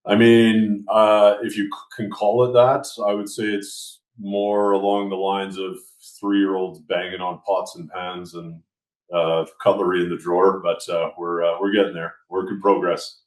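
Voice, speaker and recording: A middle-aged man from France, a podcast guest on a video call, talking in a relaxed, self-deprecating way with lots of fillers. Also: deep voice, unhurried. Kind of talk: casual conversation. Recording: echoey room.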